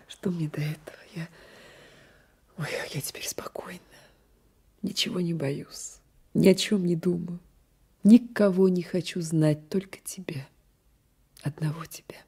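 An actress playing a defiant, lovestruck young peasant wife in a radio play, breathy and fervent, often dropping to a whisper. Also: female voice, deep voice, slow, pauses often, mellow, exaggerated voice. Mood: tender, fervent. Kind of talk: 1980s radio play acting.

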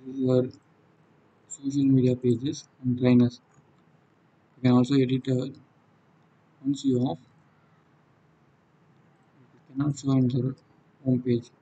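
A man with a South Indian accent and a husky voice, giving an unscripted software walkthrough in halting, non-fluent phrases. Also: mid-range voice, little pitch movement, muffled recording. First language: Tamil. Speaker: software demonstrator. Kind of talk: unscripted screen-recorded tutorial walkthrough.

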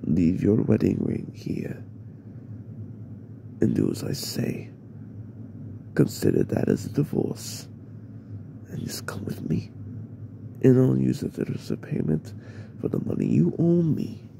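A man playing a menacing, possessive mafia boss in an ASMR roleplay, in a breathy, half-whispered murmur with slow, deliberate pauses. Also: heavily acted. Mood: threatening. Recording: noisy, with steady background rumble.

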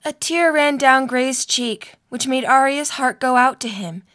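A woman's voice: high voice, measured pace, a bit bright. A young adult woman reading scripted story narration for an audio drama.

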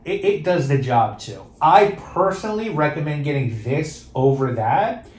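A man's voice: a little high, expressive, normal speed, darkish tone, roomy sound. A man casually reviewing fragrances, chatty and hesitant, with many fillers and restarts.

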